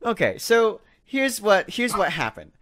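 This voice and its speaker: A young man with a fairly high voice and very lively intonation talks casually on a podcast. He rambles along and pokes fun at himself. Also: unhurried. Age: 20s. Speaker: podcast co-host. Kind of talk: casual group chat.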